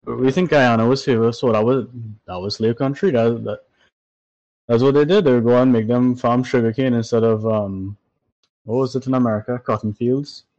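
A man's voice: medium pitch, brisk, no echo. Trinidadian man, dry muffled sound. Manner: animated, swears freely. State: tired. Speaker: podcast co-host. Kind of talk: casual chat.

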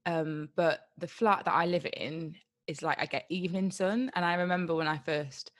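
A woman's voice: lowish voice, moderate pace, no echo, clean studio sound. A young British woman co-hosting a podcast in casual chat, telling stories animatedly with a lot of "like". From Britain. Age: young adult. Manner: animated storytelling, lots of "like". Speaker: podcast co-host.

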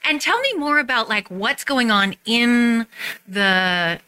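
Bright-voiced, gushing American woman host. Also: a little high, expressive, takes it slowly, smooth. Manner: gushing, interjecting eagerly. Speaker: radio show host. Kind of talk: casual interview chat. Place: recording studio.

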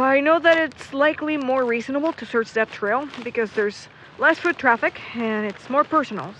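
A woman's voice: fairly high pitch, fairly bright tone. A woman playing a pessimistic, sardonic young searcher in an audio drama, skeptical and natural in delivery. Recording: muffled, with clear background noise.

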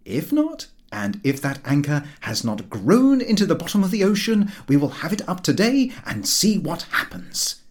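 A man voicing a pompous gentleman yachtsman from a comic storybook of 1900, formal, emphatic and exasperated. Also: fairly high pitch, big pitch swings, normal speed, hardly any pauses, voice pushed up.

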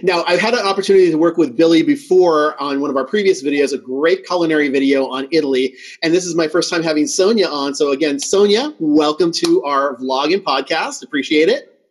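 A man's voice: a little high, brisk. A man hosting a podcast in a conversational style, with a welcoming and appreciative manner. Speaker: podcast host.

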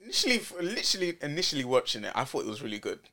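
A man's voice: fairly high pitch, very animated, rapid-fire, crowd noise. A man guesting on a podcast, talking loosely and hesitantly with many fillers. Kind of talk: casual chat.

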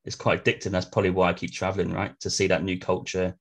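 A male podcast host with a very deep, monotone voice. He talks quickly and answers his guest with short, affirming interjections. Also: gravelly. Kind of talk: conversational interview.

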